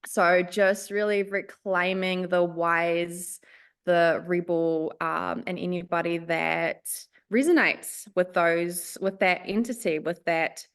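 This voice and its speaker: A woman from Finland with a New Zealand accent speaks in a slightly low voice. She talks in a chatty, animated way as an interview guest, sprinkling in ums and light laughs. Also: takes it slowly, clean tone. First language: Swedish and Finnish. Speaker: guest, spiritual life coach. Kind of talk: casual podcast interview.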